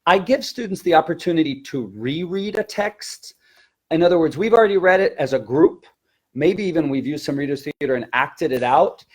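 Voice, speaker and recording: A man's voice: fairly high pitch, expressive, normal speed, darkish tone, husky. A man who teaches languages, talking conversationally as he explains classroom technique in a webinar, repeating words for emphasis.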